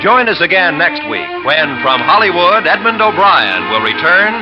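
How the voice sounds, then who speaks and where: A man's voice: a little high, normal speed. A man reading the closing credits as announcer of a 1950s radio show. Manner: polished, lively announcing. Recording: old dull radio transcription with music.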